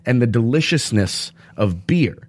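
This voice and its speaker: A man co-hosting a podcast in casual chat, swearing freely and ranting with animated energy. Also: mid-range voice, measured pace. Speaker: podcast co-host.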